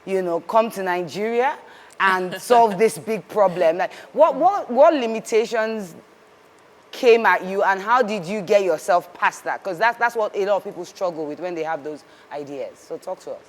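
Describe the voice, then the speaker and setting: A woman hosting an interview, asking questions in an animated way, with restarts and encouragement. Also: medium pitch, moderate pace, big-room sound. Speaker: podcast host, interviewer.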